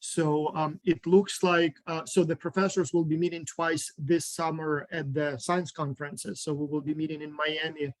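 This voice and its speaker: A man's voice: a little high, level tone, moderate pace, few pauses, no room sound, poor audio. A man from Ukraine chatting casually on a video call, hesitant with many ums and restarts. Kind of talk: casual online group chat.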